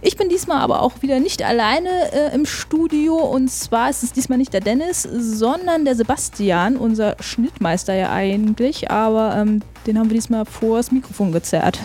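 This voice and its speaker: A woman with a cold hosts a relaxed game-music radio show. Her voice is mellow and somewhat high, and she chats along with lots of filler sounds. Soft music plays underneath. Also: normal speed, hardly any pauses. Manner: chatty, many filler sounds, laughs easily. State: has a cold. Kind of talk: casual podcast chat about game music.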